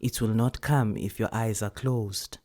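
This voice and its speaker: A woman's voice: very deep voice, steady tone, measured pace, warm tone, pitched below the performer's own voice. A woman voicing a female elder who is bossy yet tender with a child, in a South African accent, in a short story. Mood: hushed, soothing.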